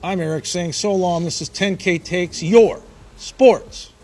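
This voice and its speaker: A man's voice: sits high, measured pace, a little mellow. A man hosting a sports talk show, upbeat and emphatic, punching his points. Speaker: host.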